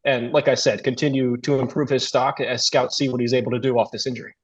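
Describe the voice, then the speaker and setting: A man's voice: mid-range voice, flat delivery, fast. A man working as a sports talk radio commentator, giving conversational analysis on a slightly dull recording.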